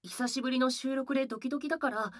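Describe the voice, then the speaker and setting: Bright, high, girlish young male idol. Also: steady tone, fast, no echo, clean studio sound. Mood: happy. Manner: cute, bubbly, refers to self by name. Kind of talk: Japanese voice drama acting.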